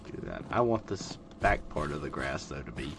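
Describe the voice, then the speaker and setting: A man in his twenties giving calm, monotone, rambling gameplay commentary, full of fillers. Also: rumbling low, moderate pace, vocal fry. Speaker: gaming video creator. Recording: loud background noise.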